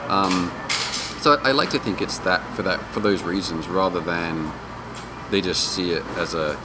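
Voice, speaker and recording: A man's voice: low voice, moderate pace. A man being interviewed as an independent game developer, speaking casually and informally in rambling explanations. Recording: clearly noisy background hum.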